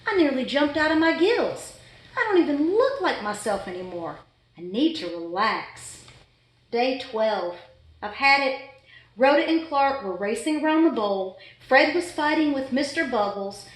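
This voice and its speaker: Woman acting storybook goldfish, fussy, exasperated, dramatic diary-style delivery, higher-pitched, roomy echo. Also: sing-song, measured pace. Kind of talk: children's storybook read-aloud. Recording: echoey room.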